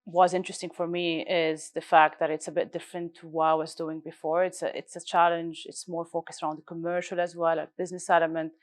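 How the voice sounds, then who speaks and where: A woman's voice: lowish voice, flat delivery, quick pace, hardly any pauses. A woman in her thirties from Lebanon, a research director explaining her work fluently in a live panel interview. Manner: fluent, explanatory, with light fillers. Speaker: guest speaker, research director.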